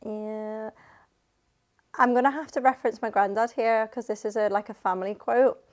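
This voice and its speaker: A woman's voice: fairly high pitch, steady tone, moderate pace. A woman in her thirties co-hosting a podcast, chatting casually and keeping the questions moving with supportive interjections. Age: 30s. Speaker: podcast co-host. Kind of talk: casual chat.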